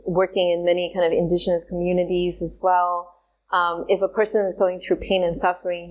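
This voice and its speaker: A woman from Singapore, a trauma specialist, answering interview questions in a thoughtful, halting way with many pauses and fillers. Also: lowish voice, darkish tone, rough recording. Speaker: guest, somatic trauma specialist.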